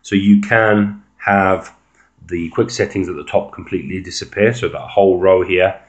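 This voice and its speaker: A man with a low-pitched voice talks through a phone screen demonstration in a casual, unscripted style. He explains as an amateur reviewer would, in a hesitant, halting way. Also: steady tone.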